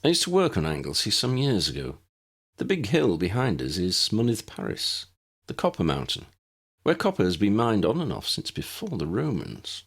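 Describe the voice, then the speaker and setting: A man's voice: on the low side, wildly swooping pitch, normal speed, husky, full character voice. A man voicing a courteous, modest, slightly bumbling fellow in a story, polite and explanatory.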